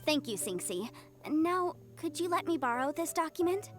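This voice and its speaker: A woman playing a brisk, sharp young legal consultant in a fantasy game, speaking formally and precisely. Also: sits high, animated, faint music behind. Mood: polite, probing. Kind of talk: polished video game voice acting.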